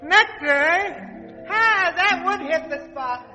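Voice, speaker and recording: An actor playing a brash, slangy toy marine captain in an old-time children's radio drama, chipper and a little bumbling. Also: male voice, extremely high pitch, slow pace, bright, forceful tone, full character voice. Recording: reverberant old radio recording, music behind.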